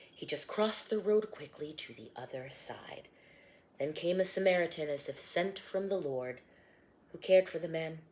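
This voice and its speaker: Woman reading as a mild male teacher spinning rhymed parables, low-set voice that rises and falls a lot; storybook reading. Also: darkish tone. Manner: rhyming, measured storytelling.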